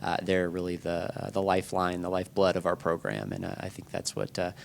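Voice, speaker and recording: A man moderating a student panel for a business program, speaking haltingly with many uhs. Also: deep voice, little pitch movement, gravelly, slight background noise.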